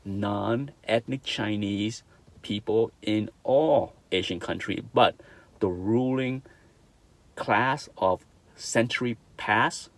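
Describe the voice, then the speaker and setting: A man's voice: lowish voice, slow pace. A middle-aged man from Vietnam with a Vietnamese accent, giving an earnest opinion monologue, repeating his points and checking in with "okay?". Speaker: vlogger.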